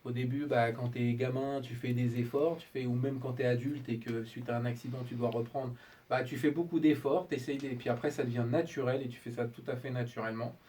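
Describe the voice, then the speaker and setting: Man, middle-aged, colloquial musician interview, halting phrasing, slightly distant mic, faint noise. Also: medium pitch, fairly even intonation, warm tone. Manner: informal, colloquial, halting with self-corrections. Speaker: interviewed musician, talkbox player and producer. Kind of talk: casual interview talk, explaining his craft. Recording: distant mic, noisy room background.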